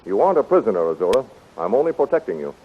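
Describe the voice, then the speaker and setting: A man's voice: fairly low pitch, very animated, racing, slight background noise. A man playing a stern, curt palace guard in a 1930s radio drama, on a thin, phone-like recording. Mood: firm, dismissive.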